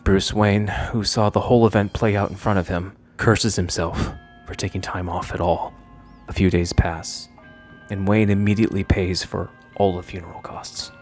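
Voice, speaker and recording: A man reading scripted narration for a fan video essay. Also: low voice.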